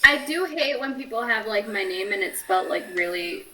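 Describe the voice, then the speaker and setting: A woman's voice: medium pitch, expressive, a little fast, pushed and bright, distant mic, slight background noise. A woman co-hosting a podcast, chatting casually with friends in a playful, teasing way. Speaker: podcast co-host. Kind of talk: casual chat among friends.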